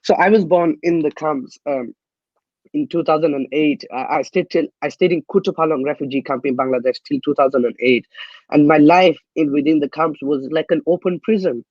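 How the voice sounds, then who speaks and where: A young man from Myanmar, a guest activist, answering interview questions earnestly and haltingly, with many restarts. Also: fairly high pitch, dry recording, highs cut off. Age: young adult.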